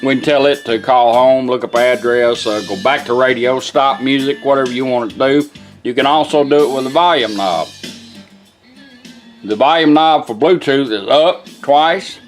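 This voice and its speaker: A man walks through a product demonstration from inside a pickup cab. His voice sits at a middle pitch, and his manner is casual, folksy and plainspoken as he instructs step by step. Music plays faintly in the background. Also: moderate pace. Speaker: presenter demonstrating a vintage truck radio.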